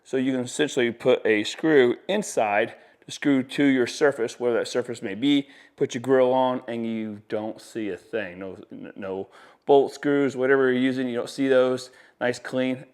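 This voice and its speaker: A man's voice: medium pitch, normal speed, a little mellow, clear, resonant tone. A man giving a casual, enthusiastic product demonstration as an installer, with folksy, colloquial sales patter. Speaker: audio installer presenting products.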